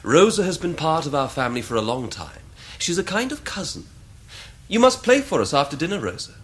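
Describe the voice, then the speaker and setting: An actor playing a charming, careless young upper-class English gentleman student in a radio drama, breezy and languidly patronising. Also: on the high side, very animated, brisk, husky, full character voice. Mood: careless, teasing. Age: young adult. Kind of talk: 1990s full-cast radio drama acting.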